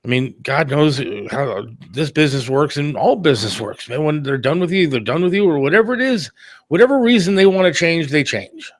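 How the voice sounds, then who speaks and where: A man's voice: fairly high pitch, lively intonation, fairly quick, no echo. A middle-aged man talking candidly and casually on a talk show, plain-spoken and a little defensive. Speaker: radio producer and talk show host.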